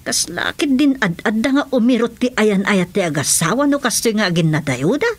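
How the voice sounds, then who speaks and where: A woman's voice: medium pitch, lively intonation, moderate pace, natural voice. An actress with an Ilocano Filipino accent playing a gossipy middle-aged village mother in a radio comedy drama.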